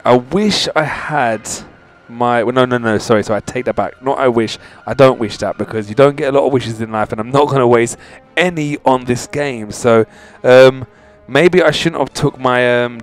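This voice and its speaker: Chatty male gamer over game music. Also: mid-range voice. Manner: informal, chatty, addressing viewers directly. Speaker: gaming channel host. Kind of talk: casual video game walkthrough commentary. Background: video game music.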